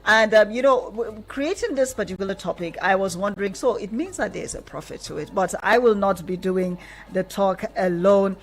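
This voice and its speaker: A woman with a Nigerian accent hosting a radio talk show in a measured, conversational way. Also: mid-range voice, poor audio. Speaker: radio host. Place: radio studio.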